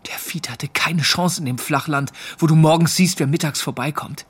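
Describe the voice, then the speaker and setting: An actor playing a weary young German soldier in a radio drama, speaking in a subdued, terse way. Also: male voice, on the high side, moderate pace, husky. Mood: weary, bitter. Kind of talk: radio drama acting, dialogue and narrated thoughts.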